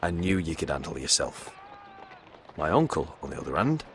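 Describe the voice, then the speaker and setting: A man's voice: extremely low pitch, sing-song, normal speed. An actor playing a loyal, roguish young nobleman turned sellsword in a video game, earnest and familiar in manner. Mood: apologetic. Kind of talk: dramatic video game voice acting. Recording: faint background ambience noise.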